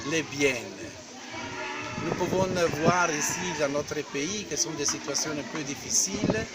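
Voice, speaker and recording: An Italian man, a priest, speaking French as a second language and pausing often to find his words. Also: fairly high pitch, very noisy. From Italy. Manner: halting, with frequent hesitations. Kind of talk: interview statement.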